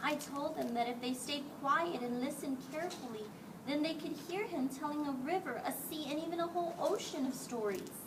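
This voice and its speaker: A woman's voice: high voice, normal speed. A woman reading a picture book aloud to children as a teacher, expressively and acting out the dialogue. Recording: distant mic, clearly noisy background.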